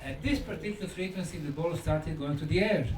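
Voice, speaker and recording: A man giving a conference lecture in short, deliberate phrases with dramatic pauses and rhetorical questions. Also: on the high side. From Bosnia. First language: Bosnian. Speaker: lecturer and researcher. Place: indoor lecture room at a conference. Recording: echoey room, distant mic, faint noise.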